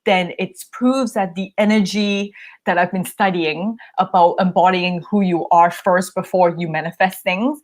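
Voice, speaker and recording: A woman's voice: lowish voice, fairly even intonation, a little slow. A young woman talking candidly about her fears as she gets coached, laughing often and filling her speech with "um" and "like.". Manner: chatty, laughs often, many fillers and restarts. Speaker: podcast host receiving coaching. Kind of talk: candid conversational chat.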